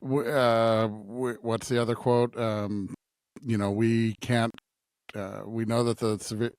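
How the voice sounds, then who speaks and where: A man co-hosting a religious podcast, talking reflectively and haltingly as he searches for his words. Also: medium pitch, level tone, darkish tone. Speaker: podcast co-host. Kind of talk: conversational religious discussion.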